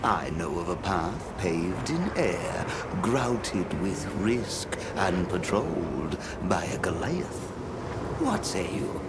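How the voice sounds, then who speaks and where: A deep, cryptic sage. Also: male voice, very animated, exaggerated voice. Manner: slow, riddling, grandly formal speech. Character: cryptic unhurried male sage guide. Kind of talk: video game cutscene acting. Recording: loud ambient game noise.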